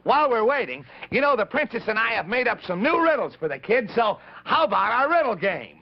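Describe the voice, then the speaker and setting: A man's voice: very high voice, big pitch swings, fairly quick, pitched above the performer's own voice, exaggerated voice. A man voicing an eager, cheerful cowboy boy marionette on a vintage children's TV show. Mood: eager, playful. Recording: muffled, noisy vintage TV show recording.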